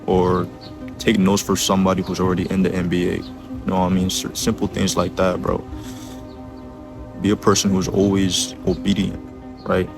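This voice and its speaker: A man's voice: low-pitched, completely flat delivery, normal speed, gravelly, long pauses, over music. A twenty-year-old man giving casual, earnest motivational advice as a vlogger, urging the listener on and often checking in with short tag questions. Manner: earnest, exhorting, repeats tag questions like a coach.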